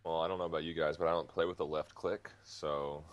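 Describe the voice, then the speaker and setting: A man with a very low, deep voice chats as a co-host on a casual gaming podcast. He talks in a loose, rambling way, repeating phrases as he thinks aloud. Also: little pitch movement, fairly quick, muffled recording.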